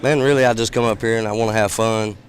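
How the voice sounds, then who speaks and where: A hoarse man, the tournament winner, answering interview questions politely and laid-back through a headset. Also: fairly low pitch, fairly even intonation, quick pace. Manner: laid-back, polite, says yes sir. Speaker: winning player interviewed. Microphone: shared headset.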